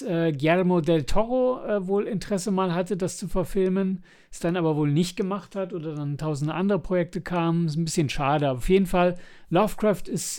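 A man's voice: high voice, a little mellow, clear, resonant tone. A man co-hosting a podcast in casual review chat, chatty and enthusiastic when recommending things. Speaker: podcast co-host.

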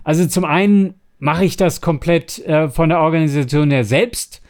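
Higher-voiced man, halting podcast talker. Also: a little mellow. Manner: halting, stammering over word starts. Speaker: podcast co-host. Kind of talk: casual podcast chat giving practical tips.

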